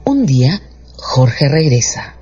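A man's voice: mid-range voice, very animated, slow pace, darkish tone, husky. A man narrating a radio dramatization in a scripted storytelling style. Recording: slightly dull sound with music underneath.

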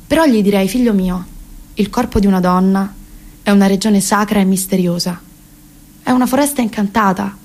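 A woman's voice: mid-range voice, a little fast, darkish tone, lightly acted. An actress playing an impassioned, rambling woman around thirty, hesitant and self-correcting, in a comic radio theatre monologue. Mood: mock-solemn. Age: 30s. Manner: hesitant, self-correcting, mock-solemn and emphatic.

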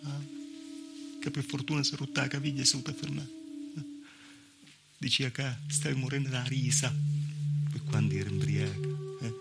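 A man's voice: medium pitch, fairly even intonation, normal speed, gravelly, long pauses, over loud music, natural voice. A man with a southern Italian accent playing a wry, plaintive village woman telling her story in a conversational theatrical monologue. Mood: wry, grim. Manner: conversational, punctuated with rhetorical "eh". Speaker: stage actor. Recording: live theatre recording with noise.

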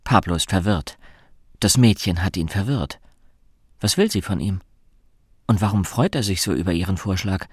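Low-voiced male radio-play narrator. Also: moderate pace, long pauses, breathy edge, dry recording, studio quality. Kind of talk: scripted literary narration for radio drama.

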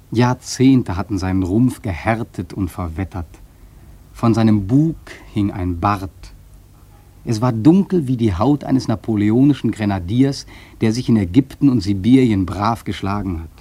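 An actor playing a thoughtful sailor who recounts his voyage in a radio play, measured and earnest. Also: lowish voice, warm tone, slightly rough, no echo, exaggerated voice. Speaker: narrator and protagonist. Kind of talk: literary radio play narration.

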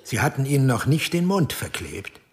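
An actor playing a male investigator with a gravelly, husky voice, putting terse questions in a radio drama. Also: mid-range voice, very animated, moderate pace. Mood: probing. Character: probing male investigator taking a confession.

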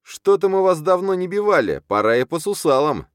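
A male reader puts on a drunken, bullying newspaper boss for a comic audiobook. He is gruff and curt, barking with authority, his pitch lurching widely in a dry, close recording. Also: sing-song, normal speed, dry recording. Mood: enraged.

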